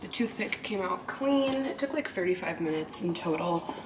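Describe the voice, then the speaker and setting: A woman's voice: lowish voice, expressive, normal speed, noisy background. A woman vlogger chatting casually through a baking project at home, talking through each step and giggling often. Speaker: lifestyle vlogger. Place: home kitchen.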